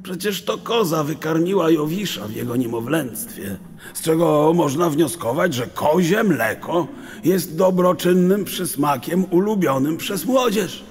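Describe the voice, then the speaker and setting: An actor playing a kindly, despairing old sage in an audio drama, with a theatrical, grandiloquent and emotional manner. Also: male voice, fairly high pitch, lively intonation, measured pace. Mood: distraught. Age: older. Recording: long reverb, faint background noise.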